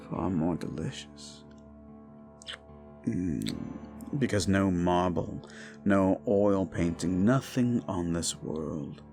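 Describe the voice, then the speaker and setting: A man voicing an unhinged, paranoid, possessive young prince in an audio drama, speaking softly and sometimes in a menacing whisper. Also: low-pitched, vocal fry, full character voice. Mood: adoring. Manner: soft, slow, often whispering, menacing. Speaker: voice actor. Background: background music.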